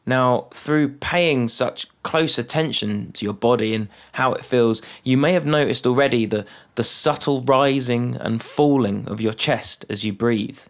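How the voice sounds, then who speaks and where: A man leading a guided mindfulness meditation, speaking calmly and unhurriedly with long pauses between phrases. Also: mid-range voice.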